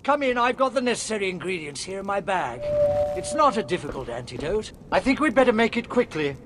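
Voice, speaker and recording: A man's voice: high-pitched, big pitch swings, a little fast, very noisy. An older British man playing a genial, fussy wizard professor in a video game, avuncular and effusive. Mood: genial, encouraging. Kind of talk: scripted video game acting.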